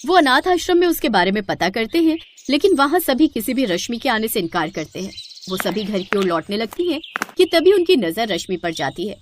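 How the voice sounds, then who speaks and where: A woman storyteller reads a scripted moral tale. Her voice sits fairly high as she narrates the domestic drama. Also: sing-song, fairly quick, noisy background.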